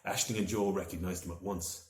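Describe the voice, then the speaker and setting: A man with a lowish voice narrates a children's storybook. His delivery is expressive and dramatic. The room around him rings with noticeable echo. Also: fairly quick. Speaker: author reading from own novel. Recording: echoey room.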